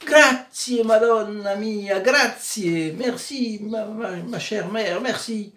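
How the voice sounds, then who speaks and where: A man voicing a desperate Italian mother, crying out in a high, loud, impassioned voice while retelling a story. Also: takes it slowly, distant mic. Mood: ecstatic thanks. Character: desperate Italian mother, pleading then overjoyed. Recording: echoey room.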